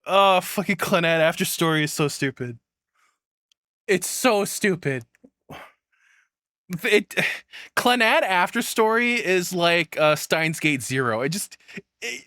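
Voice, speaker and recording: Bright-voiced man, blunt and sweary. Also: on the high side, big pitch swings, measured pace, no room sound, clean studio sound. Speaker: reaction streamer. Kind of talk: casual reaction commentary.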